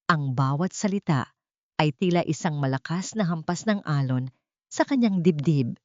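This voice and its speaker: A woman's voice: deep voice, animated, normal speed, no echo, dull audio. A woman reading a dramatic story as a scripted audiobook narration.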